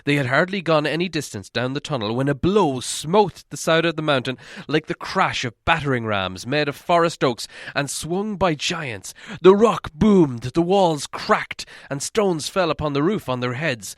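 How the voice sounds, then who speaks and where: A man reading an audiobook as a dramatic storyteller, expressive and theatrical. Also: on the high side, normal speed, few pauses, dry recording, studio quality. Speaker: audiobook reader.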